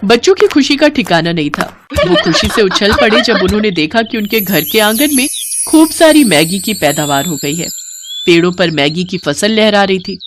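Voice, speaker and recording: A woman's voice: mid-range voice, slight background noise. A woman storyteller reading scripted narration of a moral tale.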